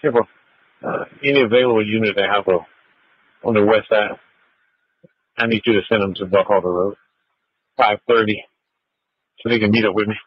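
A man's voice: fairly low pitch, steady tone, normal speed, long pauses, no echo. Lo-fi radio, male police officer. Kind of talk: radio call to dispatch. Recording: dull lo-fi police radio transmission.

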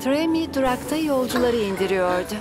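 A woman's voice: fairly high pitch, animated, moderate pace, close to an everyday voice. A woman narrating a children's cartoon story in a scripted storytelling style. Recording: music behind the voice.

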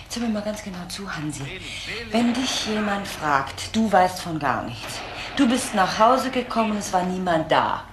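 An actress playing a determined, protective social worker in a radio crime drama, speaking urgently and insistently. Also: female voice, medium pitch, big pitch swings, moderate pace, close to an everyday voice. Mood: tense, insistent. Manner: urgent, insistent, repeating key phrases. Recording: noisy radio drama recording with ambience.

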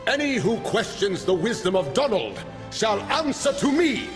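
An actor voicing a grand, courtly male raptor king in a game cutscene, speaking formally with booming proclamations. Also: very animated, normal speed, over music. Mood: regal.

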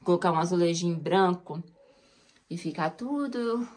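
A woman's voice: fairly low pitch, moderate pace, darkish tone. A woman chatting to viewers as she walks through her house, pointing things out, filmed on a handheld phone. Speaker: home vlogger. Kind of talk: casual home tour chat. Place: cold stone house basement, indoors. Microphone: handheld phone camera.

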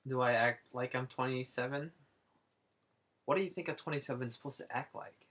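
Husky-voiced playful man in his twenties. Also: mid-range voice, fairly quick, a bit bright. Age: 20s. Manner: joking, playful, rambling. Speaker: artist and channel host. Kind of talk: casual chat while drawing.

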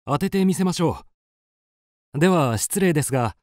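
A man's voice: on the high side, animated, moderate pace, no room sound, clean studio sound, close to an everyday voice. An actor playing a courteous, wistful and persuasive male traveller in a radio drama, polite and poetic. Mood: earnest, wistful.

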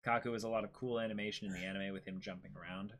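A man's voice: lowish voice, fairly even intonation, rapid-fire, a bit bright. A young man chatting casually as co-host of a livestream and reading out viewer comments. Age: young adult. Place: small indoor room, seated.

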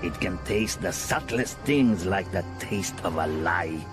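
An actor playing a refined, menacing older ruler in a video game, deliberate and theatrical in manner. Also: male voice, low-pitched, lively intonation, music in the background. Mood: menacing, silky. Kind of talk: video game cutscene acting.

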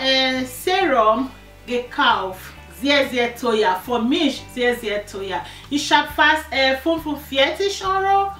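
A woman in her forties reviewing a skin serum from her sofa, in simple, halting German full of fillers. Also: high voice, bright, forceful tone. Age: 40s. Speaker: beauty vlogger. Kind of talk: casual product review. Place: living room, seated on a sofa. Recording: echoey room, distant mic, faint music.

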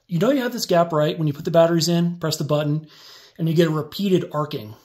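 A man walking through a hands-on build step by step in a relaxed, casual tutorial, filmed on a phone over a workbench indoors. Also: fairly high pitch, fast talker. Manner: relaxed step-by-step explaining while working. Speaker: DIY tutorial maker.

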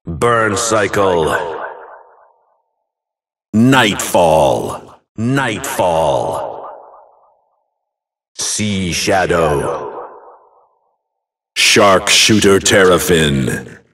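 A man's voice: low-pitched, expressive, very slow, pauses often, fairly bright tone, full character voice. A man playing a grand fantasy video game announcer, declaiming names in a dramatic, emphatic way.

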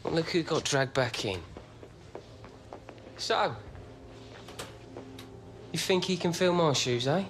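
A man's voice: a little high, expressive, takes it slowly, pauses often, a bit bright, slight background noise. A man playing a cocky, needling rival footballer in a video game cutscene. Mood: taunting.

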